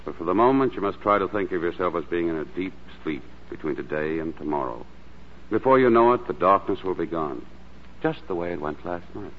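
An actor playing a calm, charming but firm doctor in a 1940s radio drama, measured and gently paternal. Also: male voice, deep voice. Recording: dull, noisy vintage 1940s radio broadcast.

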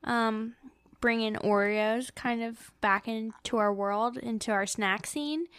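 Playful, higher-voiced American woman, dry close recording. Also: slow, clear, resonant tone, dry recording. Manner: playful and expressive, acts out sound effects. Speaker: podcast co-host. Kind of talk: casual book chat.

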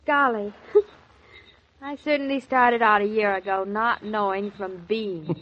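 An actress in a 1940s radio drama playing a playful, tender young newlywed wife, with a bright, teasing and dreamy way of talking on an old transcription. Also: female voice, mid-range voice, takes it slowly, dull audio, lightly acted. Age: young adult.

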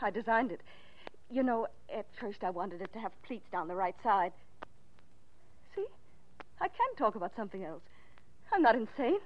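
An actress in a 1940s radio mystery playing an unhinged, boastful murderous wife, agitated and insistent. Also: female voice, mid-range voice, sing-song, moderate pace, pauses often, slight background noise, close to an everyday voice. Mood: obsessive, defensive. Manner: agitated, insistent, obsessively repeating herself. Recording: muffled vintage 1940s radio recording.

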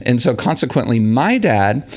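A man's voice: medium pitch, very animated, normal speed. A man hosting a podcast, chatting with a guest in an upbeat, enthusiastic way. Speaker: podcast host. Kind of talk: casual interview chat.

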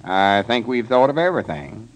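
Male actor as homespun backwoods storekeeper, rural Southern drawl, very animated pitch, eager schemer, 1930s radio comedy recording. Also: normal speed, a little hiss or hum, natural voice, rough recording. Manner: drawling, homespun, hesitant when thinking. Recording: old 1930s radio broadcast.

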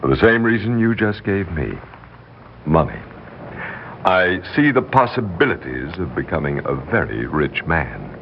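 An actor playing a controlling, smooth-talking butler with imperious, deliberate speech in 1960s radio drama style. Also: male voice, sits low, very animated, heavily acted. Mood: calculating. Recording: dull, noisy 1960s radio recording.

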